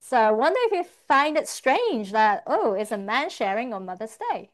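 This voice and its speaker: A woman's voice: a little high, very animated, moderate pace. A woman interpreting a church testimony, rendering the speaker's words line by line in the first person. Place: church service.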